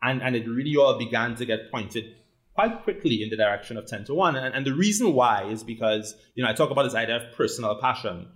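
Man, Trinidadian accent, interview guest, animated, repeats words, mid pitch. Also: fast. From Trinidad and Tobago. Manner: animated, repeats words while building points, frequent "right?" tags. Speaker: guest, rum company founder. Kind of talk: casual interview conversation.

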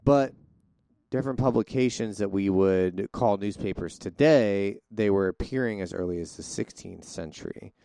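A man's voice: lowish voice, normal speed, creaky, warm tone, no room sound. A man co-hosting a podcast in casual chat, talkative and theatrical, often restarting his sentences. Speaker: podcast co-host.

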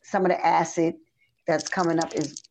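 Chatty hoarse woman singer. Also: deep voice, moderate pace, slight background noise. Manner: chatty, animated storytelling with restarts and fillers. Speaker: singer guest. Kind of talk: casual interview chat. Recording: dull lo-fi audio.

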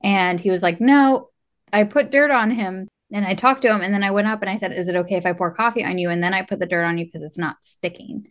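A woman's voice: mid-range voice, quick pace, hardly any pauses. Woman chatting casually, retelling in quoted dialogue. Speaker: podcast co-host. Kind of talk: casual chat.

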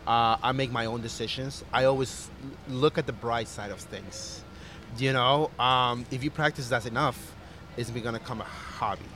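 Earnest chef talking about freedom. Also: male voice, mid-range voice, steady tone, normal speed, sharp tone, slight background noise. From Costa Rica. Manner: earnest, reflective, with fillers and restarts. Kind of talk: casual interview conversation.

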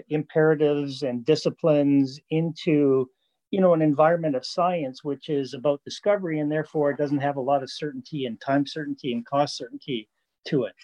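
Canadian male interviewer, higher voice. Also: mellow, lo-fi sound. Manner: conversational, leading with context before questions. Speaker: interviewer, host. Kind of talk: business interview.

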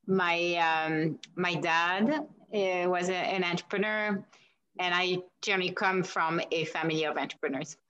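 French-accented woman, low, laughs often. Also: steady tone, unhurried, clear, resonant tone, lo-fi sound. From France. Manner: warm and chatty, laughs often, many restarts. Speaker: guest. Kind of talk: casual interview answers.

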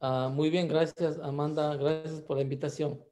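A man from the highlands, answering interview questions as a shaman guest in an unhurried, hesitant way full of drawn-out fillers. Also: level tone, dark tone. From Ecuador, Andean highlands.

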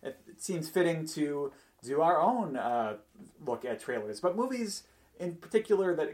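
A man's voice: fairly high pitch, sing-song, normal speed, a little mellow. A man hosting a podcast in a casual, conversational style. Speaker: podcast host.

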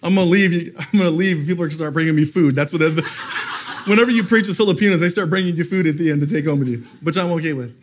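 A man's voice: a little high, fast. A middle-aged man, a guest pastor who is losing his voice, preaching a sermon to a live audience in a church, telling funny stories and laughing often. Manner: humorous storytelling, laughing often.

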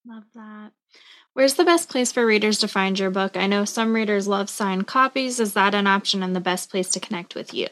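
A woman's voice: mid-range voice, normal speed, a bit bright. A woman hosting an author interview, asking questions and giving thanks politely.

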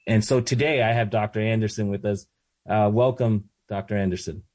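A man hosting an interview, speaking haltingly with many filler sounds. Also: fairly low pitch, fairly even intonation, a little mellow. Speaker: host.

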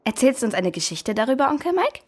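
A woman's voice: high voice, fast, slightly rough, exaggerated voice. A woman playing a curious, adventurous young girl in a children's audio drama. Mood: calm. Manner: expressive, exclaiming.

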